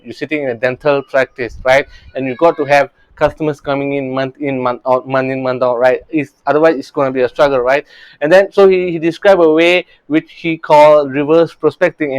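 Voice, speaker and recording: A man's voice: mid-range voice, fairly even intonation, brisk, no echo. A man in his thirties from Singapore, a real estate coach talking earnestly about his early career, often restarting his sentences. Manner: earnest, many restarts and "you know, right?" tags.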